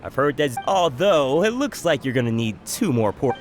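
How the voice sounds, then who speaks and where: Hearty friendly cook lady, low voice, lots of swing, teasing, anime game, music behind. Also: big pitch swings, moderate pace. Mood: jovial, teasing. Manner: jovial, teasing, chuckling. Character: hearty, generous female townsperson who cooks, teasing and friendly. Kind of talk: anime video game voice acting.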